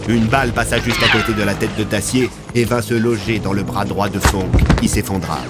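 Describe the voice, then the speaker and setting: A man's voice: fairly low pitch, fairly even intonation, fairly quick, over loud music. A man narrating scripted audio fiction in a dramatic storytelling manner.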